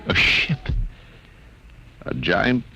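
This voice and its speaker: An actor playing a grave, slow-spoken man in a 1950s radio thriller. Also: low voice, big pitch swings, gravelly, sharp tone, full character voice. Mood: dazed. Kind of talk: 1950s radio drama acting. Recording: old radio recording, faint noise.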